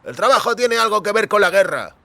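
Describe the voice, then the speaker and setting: An actor playing a chatty small-town taxi driver in an audio drama, wary but helpful, with an emphatic, confiding delivery. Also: male voice, sits high, very animated, fairly quick, vocal fry, pushed and bright, no echo, heavily acted. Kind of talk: audio fiction dialogue in Spanish.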